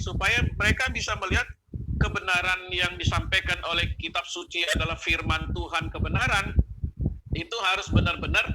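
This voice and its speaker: A man's voice: high-pitched, heavy background noise. A man giving opinionated religious commentary in a conversational style, repeating phrases for emphasis and seeking agreement. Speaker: panelist on an online religious talk show.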